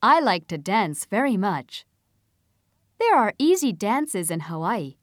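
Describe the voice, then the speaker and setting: A woman reading a scripted passage aloud for a listening test, with careful, clearly spaced delivery into a close studio mic. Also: medium pitch, big pitch swings, slow, no echo.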